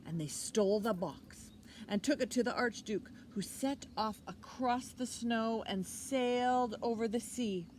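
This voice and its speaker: A woman's voice: on the high side, unhurried. A middle-aged woman hosting children's storytime outdoors, speaking simply and expressively to young children. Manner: expressive, simple child-directed talk with questions. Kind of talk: picture book reading and children's lesson. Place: outdoors by a leafy hedge. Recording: outdoor recording with faint noise.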